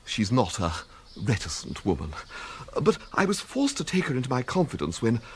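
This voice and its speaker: A bleating, lovesick English poet in a radio comedy. His upper-class voice is husky and swoops extravagantly, pompous and precise one moment, fluttery with excitement the next. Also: medium pitch, moderate pace, exaggerated voice. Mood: pompous, excited. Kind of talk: radio comedy drama acting.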